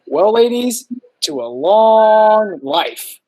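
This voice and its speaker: A man's voice: high-pitched, sing-song, very slow, mellow, soft background music, full character voice, low-quality recording. A man playing a mild, lonely asylum superintendent in a radio play, formal and hesitant. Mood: mild, wistful.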